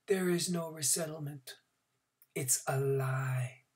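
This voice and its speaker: A reader voicing a frail, exhausted old male camp escapee who speaks in a hushed, breathy whisper. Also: mid-range voice, takes it slowly, natural voice. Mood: grim warning.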